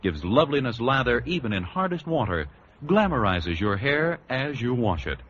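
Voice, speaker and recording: A man's voice: medium pitch, very animated, normal speed, a bit bright. A man reading a scripted 1940s radio advertisement as a commercial announcer. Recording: muffled vintage radio, faint hiss.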